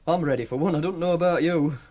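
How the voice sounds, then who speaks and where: An actor playing a defiant, self-assured young student gambler with a Northern English accent in a naturalistic radio drama. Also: male voice, on the high side, quick pace, darkish tone, a little hiss or hum, close to an everyday voice. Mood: casual, coaxing. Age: young adult.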